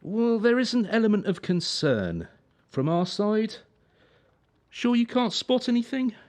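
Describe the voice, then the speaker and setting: An actor playing a cold, patronising gymnastics coach in a radio drama, officious and insinuating. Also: male voice, high-pitched, very animated, unhurried, a little mellow. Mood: falsely concerned.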